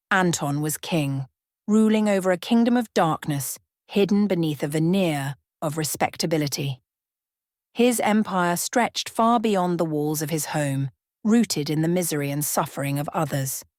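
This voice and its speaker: A woman narrating a bedtime story in a slow, hushed, measured ASMR style. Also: deep voice, no room sound, studio quality.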